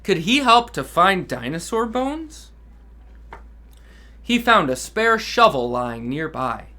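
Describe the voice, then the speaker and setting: Upbeat dad storyteller for kids' read-alongs, expressive and lively in pitch. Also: male voice, slow. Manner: enthusiastic, expressive reading with asides to kids. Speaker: dad reading children's books aloud. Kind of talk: children's read-aloud storytelling.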